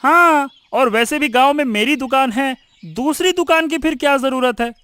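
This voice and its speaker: A man playing an anxious, gossipy village shopkeeper in a cartoon story, talking in an excitable, repetitive way. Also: very high-pitched, normal speed, no room sound. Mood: worried. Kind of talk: scripted cartoon story acting. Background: music.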